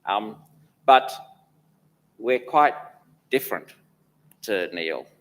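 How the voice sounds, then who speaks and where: A man's voice: on the low side, lively intonation, extremely slow. A man in his fifties with a mixed, mostly Australian accent, the chair of a church council, answering questions with rambling dry humour. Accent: mixed, Australian with traces of places lived. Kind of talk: interview answers.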